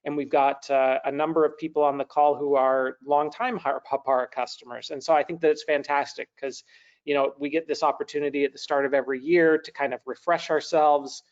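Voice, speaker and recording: Man, 30s, somewhat high voice, upbeat rambling host, dull laptop-mic sound. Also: steady tone, brisk. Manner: upbeat, rambling with many restarts. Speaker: webinar host. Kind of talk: webinar hosting. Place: home room with brick wall, indoors. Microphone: video call, laptop mic. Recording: dull lo-fi video call audio.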